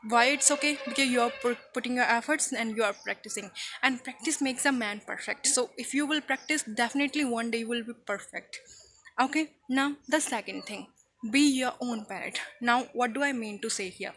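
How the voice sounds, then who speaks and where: A woman's voice: on the high side, a little fast, a bit bright. A woman whose first language is Punjabi, teaching a casual English lesson in a chatty way, often checking in with "okay?" and explaining idioms.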